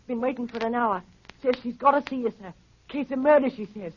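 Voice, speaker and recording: High-voiced servant boy, old radio. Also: a little fast. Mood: urgent. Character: eager young servant boy announcing callers. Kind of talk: 1930s radio acting. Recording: muffled, noisy 1930s radio recording.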